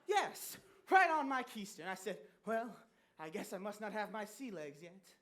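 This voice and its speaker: A woman's voice: medium pitch, sing-song, normal speed. A woman playing a naive, lovestruck young bridegroom in a stage musical comedy, earnest and old-fashioned in manner. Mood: dreamy. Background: loud musical accompaniment.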